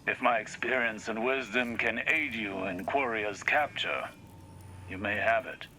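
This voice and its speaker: A man's voice: on the low side, unhurried, bright, forceful tone, slight background noise, low-quality recording. A man playing a proud, learned older warlock in a video game, speaking formally and precisely. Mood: persuasive. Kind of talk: video game character dialogue.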